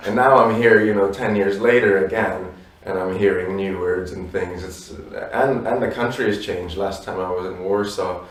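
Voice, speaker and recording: A man in his thirties with Polish roots, a musician answering interview questions in a relaxed, reflective way with many "you know"s. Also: low voice, moderate pace, hardly any pauses. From abroad, Polish parents. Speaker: musician guest. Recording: roomy echo from a distance.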